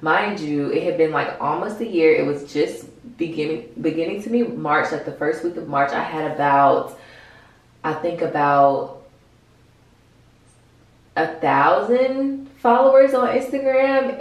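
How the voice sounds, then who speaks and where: A woman's voice: low-pitched, measured pace. A woman in her twenties with an American accent, giving casual, frank, slangy advice to camera in a vlog. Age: 20s. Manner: informal, slangy, frank, sprinkled with swearing. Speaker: vlogger, small business owner. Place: bathroom, indoors. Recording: very echoey small tiled room.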